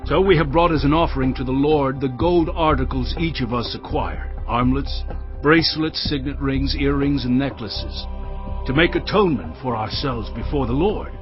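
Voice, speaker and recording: A man's voice: mid-range voice, moderate pace, natural voice. An actor playing a dutiful army officer in dramatized scripture, reporting formally and respectfully to his leader. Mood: respectful, earnest. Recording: dull audio under prominent music. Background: music.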